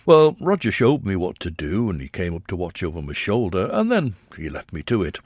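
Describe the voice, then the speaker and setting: Low-voiced older man reminiscing. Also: huge pitch swings, darkish tone, husky, no room sound, studio quality. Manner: measured, reflective storytelling. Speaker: narrator. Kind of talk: reminiscing documentary narration.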